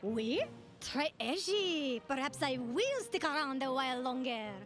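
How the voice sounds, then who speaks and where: A woman's voice: high-pitched, expressive, unhurried, music in the background. A woman playing a weary, complaining French-accented resident of a fantasy world in a video game. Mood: dryly intrigued.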